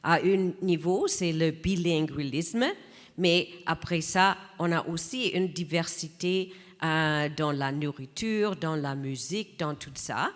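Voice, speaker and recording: Une femme à la voix plutôt grave, candidate dans un débat, parle très lentement et de façon posée, ponctuant ses phrases de nombreuses hésitations. Une longue réverbération de salle accompagne sa voix. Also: female voice, low-pitched. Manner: measured, many hesitations. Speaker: election candidate. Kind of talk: debate statement. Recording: reverberant hall.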